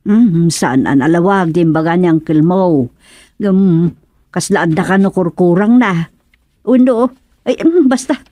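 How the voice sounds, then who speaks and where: A woman's voice: fairly low pitch, measured pace, warm tone, no echo. A woman playing a fussing, inquisitive village wife in a radio drama, questioning and exclaiming. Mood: concerned.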